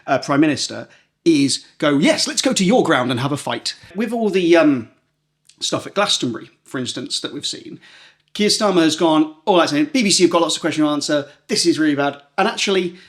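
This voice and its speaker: A man co-hosting a podcast in casual political chat, telling stories and acting out imagined quotes. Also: fairly high pitch. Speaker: podcast co-host.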